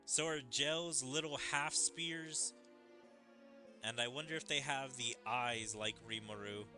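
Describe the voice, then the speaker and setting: A man's voice: medium pitch, unhurried, bright, forceful tone, soft background music. A man giving casual, musing commentary as he plays a story game. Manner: musing, speculative. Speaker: gaming video creator playing a story event.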